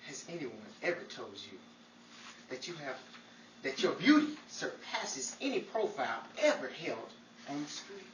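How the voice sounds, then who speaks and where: A man playing a wry, bossy Hollywood amateur detective in a 1940s-style radio comedy mystery, theatrical and given to florid flattery and wisecracks. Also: fairly high pitch, normal speed. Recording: echoey room, distant mic, noisy crowd behind.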